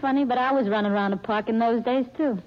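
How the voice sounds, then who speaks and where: A woman's voice: a little high, fast, close to an everyday voice. An actress playing a brash, chatty young working-class woman in a 1940s radio drama, slangy and wisecracking. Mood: breezy. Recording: dull old radio recording.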